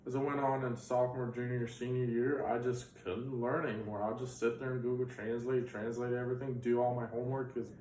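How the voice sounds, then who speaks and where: A man's voice: mid-range voice, level tone, a little fast, few pauses, darkish tone. A young man in his twenties, born in Bulgaria with Bulgarian as his first language, recalling things casually in an interview, with plenty of fillers. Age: 20s. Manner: rambling, filler-heavy recollection. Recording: roomy sound from a distant mic.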